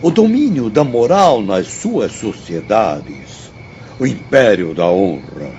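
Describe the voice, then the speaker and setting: An actor with a Brazilian accent playing a learned, courteous spirit teacher in a radio drama, speaking in a formal, measured, didactic way. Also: male voice, fairly low pitch, big pitch swings, darkish tone, full character voice. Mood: solemn, didactic. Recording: dull audio, faint noise, night crickets.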